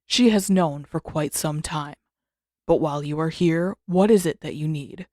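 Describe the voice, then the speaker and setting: A calm old male spirit mentor from a fantasy storybook, voiced by a woman. He speaks formally and gravely, with a measured delivery set lower, in a dry recording. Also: no room sound.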